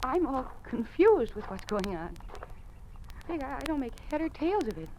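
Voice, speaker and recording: An actress playing a naive, earnest new teacher in a 1950s radio drama, with an American accent and a hesitant manner. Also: female voice, high-pitched, sing-song, moderate pace, darkish tone, faint music behind. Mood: confused, anxious. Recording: noisy vintage 1950s radio recording.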